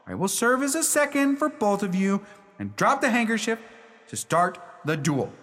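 An actor playing an exasperated, lovestruck young bridegroom in a radio play, earnest, wordy and theatrical. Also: male voice, high-pitched, sing-song, moderate pace, a bit bright. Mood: exasperated, commanding.

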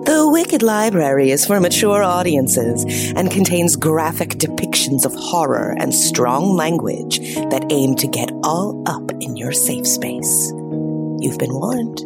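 A woman's voice: low voice, very animated, takes it slowly, gravelly, over loud music, exaggerated voice. A woman playing a sly, sardonic killer with a drawling, dryly menacing manner in a horror audio drama. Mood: slyly menacing. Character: sly, sardonic murderous woman, librarian's old friend.

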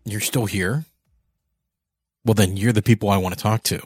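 A man with a fairly low voice hosts a podcast in a reflective, conversational style. He circles back over his phrases as he talks. The sound is very dry, with no room around it. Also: steady tone, normal speed, breathy edge, dry recording, faint music behind. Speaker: podcast host interviewer. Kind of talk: conversational interview and host talk.

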